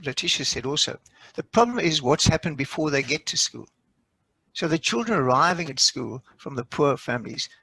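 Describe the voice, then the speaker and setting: A man's voice: a little high, pushed and bright, husky, no echo, rough recording. A man with a South African accent speaking on a panel, explaining and sharing anecdotes. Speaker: education expert panelist. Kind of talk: panel discussion.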